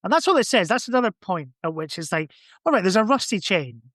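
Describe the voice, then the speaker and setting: A man's voice: high voice, very animated, fast, fairly bright tone, no room sound, clean studio sound. A man in casual podcast conversation, thinking aloud in a rambling way. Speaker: podcast guest or co-host. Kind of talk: casual chat.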